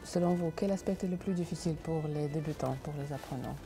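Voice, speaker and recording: Female TV host, low warm tone, short interview questions. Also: fast talker, faint music behind. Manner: brief prompting questions and backchannels. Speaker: TV show host.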